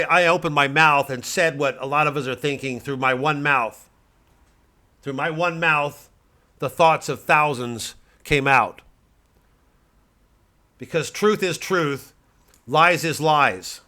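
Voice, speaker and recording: A man playing an indignant, self-important American right-wing podcast pundit, bombastic and repetitive, with dramatic pauses. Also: a little high, bright, forceful tone. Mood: self-righteous. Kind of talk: satirical political podcast monologue.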